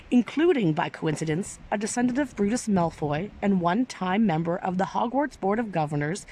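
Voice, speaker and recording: A woman's voice: lowish voice, a little mellow. A woman reading a story aloud expressively, with careful, theatrical phrasing. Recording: clearly noisy background.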